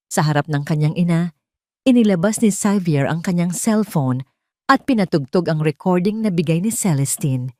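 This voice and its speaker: A Filipino woman reads a scripted romantic drama as narrator. Her voice sits fairly low and moves with lively, expressive swings. The sound is close and dry. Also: dry recording. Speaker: storyteller, narrator. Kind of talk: scripted dramatic narration of a Tagalog love story.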